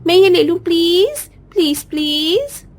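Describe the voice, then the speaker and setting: A woman's voice: very high-pitched, lively intonation, measured pace. A woman playing a greedy, spoiled young sister-in-law in a cartoon, wheedling with sugary, theatrical pleading. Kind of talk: Hindi cartoon family-drama acting.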